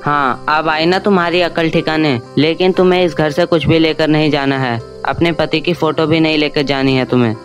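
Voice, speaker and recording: A man playing a greedy, bullying middle-aged moneylender in an animated story, harsh and demanding. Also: fairly high pitch, normal speed, hardly any pauses, lightly acted. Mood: harsh, gloating. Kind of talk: Hindi animated story dialogue. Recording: music under the voice.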